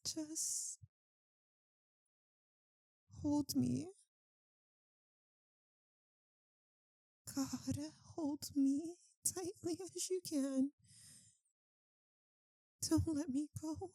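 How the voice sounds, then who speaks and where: Woman playing a high, slow-spoken anguished vampire lover. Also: mellow, dry recording, full character voice, rough recording. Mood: pleading, tearful. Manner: halting, intimate, pleading delivery. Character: anguished vampire lover, tender, guilt-ridden, female. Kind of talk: ASMR roleplay.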